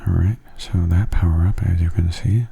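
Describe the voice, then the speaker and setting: Guy doing asmr game commentary, super soft n breathy, really mellow dark voice, gentle. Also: sits low, fairly even intonation, moderate pace. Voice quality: soft, breathy, half-whispered. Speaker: video game streamer.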